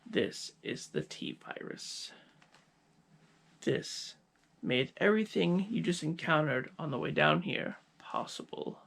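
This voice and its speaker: A man voicing a smug, menacing scientist villain in an ASMR roleplay, gravelly and husky, dropping toward whispers, with theatrical taunting pauses. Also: a little high, very animated, fairly bright tone, exaggerated voice. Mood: gloating, taunting.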